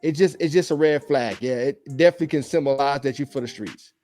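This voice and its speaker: A man with a slightly hoarse voice from a cold, giving loose, rambling, opinionated commentary on dating for his channel. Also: mid-range voice, fast, darkish tone, soft background music.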